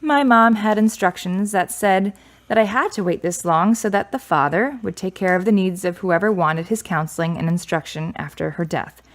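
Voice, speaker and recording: Actress as a guarded, skeptical, grieving daughter. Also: female voice, mid-range voice, moderate pace, close to an everyday voice. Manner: wry, blunt. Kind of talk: radio drama acting.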